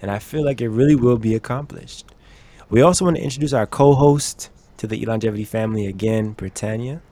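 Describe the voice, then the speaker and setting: A man hosting an interview podcast, friendly and quick to laugh. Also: mid-range voice, warm tone, no room sound. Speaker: podcast host.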